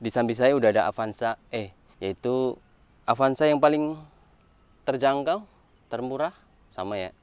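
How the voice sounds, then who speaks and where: A man's voice: medium pitch, moderate pace, pauses often, darkish tone, clean tone, no room sound. A man giving a casual walkaround car review, explaining things informally point by point.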